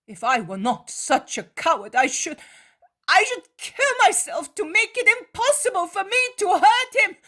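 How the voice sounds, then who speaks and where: An anguished, guilt-torn man cries out in a high, swooping voice, ranging wildly as he pleads and accuses, as a woman reads him aloud. He speaks slowly, leaning hard on each word. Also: very animated, full character voice. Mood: despairing. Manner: impassioned, shouting.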